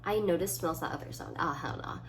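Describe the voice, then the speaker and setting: A woman of about twenty chatting casually as a solo vlogger, reading items aloud and thinking through short, halting answers. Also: low voice. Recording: clearly audible background noise.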